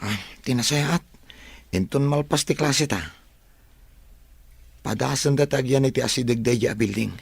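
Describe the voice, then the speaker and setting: A man's voice: medium pitch, normal speed, creaky, long pauses, husky, dry recording. A man playing a loyal young student buddy in an audio drama, easygoing and ready to help. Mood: willing. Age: young adult. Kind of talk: radio-style audio drama acting.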